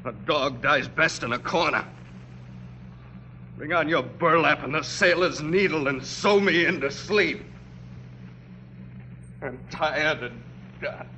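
An actor playing a ragged, exhausted common soldier in a radio drama, with plain, fervent speech. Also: male voice, on the high side, slow pace, long pauses, pushed and bright, rough recording. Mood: spent, dying. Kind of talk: theatrical old radio drama. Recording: hissy old radio broadcast.

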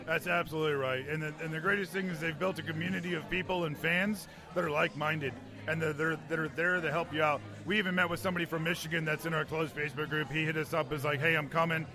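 A man with a slightly high voice chats casually as an interview guest. He is recorded on a handheld recorder in a bar, with music clearly audible behind him. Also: fairly even intonation, fast. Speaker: interview guest, toy collector. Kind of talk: casual conversational storytelling. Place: bar in New York.

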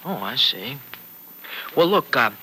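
An actor playing a fretful, protective, sardonic uncle in a folksy, hesitant way, in old-time radio serial style. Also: male voice, mid-range voice, very animated, slow, bright, forceful tone, full character voice. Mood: folksy, bemused. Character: Uncle Freddy, gruff-hearted fretful family man, protective and sardonic. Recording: noisy vintage radio recording.

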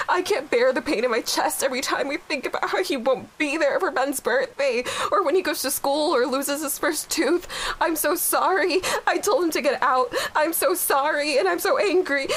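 Young widowed mom wrecked with guilt, halting n raw, audio drama, hiss behind her. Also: high-pitched, a bit bright. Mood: anguished, tearful. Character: grieving, guilt-ridden young widowed mother, bitter and wounded. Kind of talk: naturalistic audio drama acting. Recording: clear background hiss.

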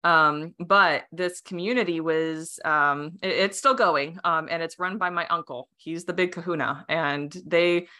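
Bright-voiced woman, chatty and rambling. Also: fairly low pitch, a little slow, hardly any pauses. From United States. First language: Romanian. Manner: rambling, many fillers and restarts, laughs often. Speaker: podcast guest. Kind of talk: casual interview chat.

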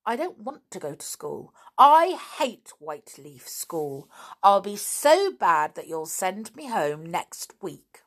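A woman reading aloud and voicing a defiant, rude little schoolgirl who answers sulkily and shouts. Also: mid-range voice, sing-song, a little slow, few pauses, pitched above the performer's own voice. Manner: sulky and shouting.

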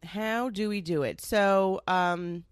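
A woman's voice: medium pitch, slow pace, clear, resonant tone, dry recording, studio quality. A young woman hosting her own podcast in a chatty, rambling way, full of asides and the odd curse, sounding a little nasal from a cold. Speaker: podcast host. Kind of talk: casual solo podcast chat.

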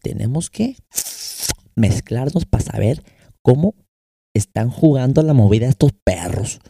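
A man's voice: mid-range voice, lively intonation, measured pace, mellow, slightly rough, no room sound, clean studio sound. A young Mexican man with a Mexican Spanish accent and some American English, hosting his own podcast and talking loosely in slang, switching between Spanish and English. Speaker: podcast host. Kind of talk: casual solo podcast monologue. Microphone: close podcast microphone.

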